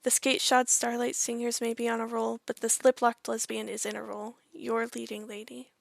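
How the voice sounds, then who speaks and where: A woman's voice: a little high, little pitch movement, moderate pace, gravelly. A woman giving a theatrical, playful reading of a monologue, with arch and precise delivery. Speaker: monologue reader.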